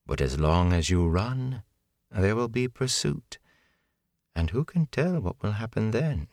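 A man voicing a cold, menacing young woman who serves a dark lord, unhurried and condescending, in an audiobook. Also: lowish voice, very animated, no room sound, studio quality, voice pushed up. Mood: condescending menace. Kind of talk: audiobook character acting.

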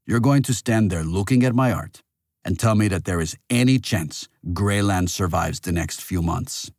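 A man's voice: low-pitched, moderate pace, darkish tone, no echo, clean studio sound. A man voicing a centuries-old artificial male monarch in an audiobook, pompous and morally certain, with a theatrical, lecturing manner. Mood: pressing, challenging.

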